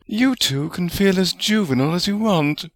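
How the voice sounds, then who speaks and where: A man acts out a tart witch spirit from a storybook, sardonic and quick to scold. The pitch is raised and lively, the delivery dry and chiding, with no room echo. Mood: dismissive.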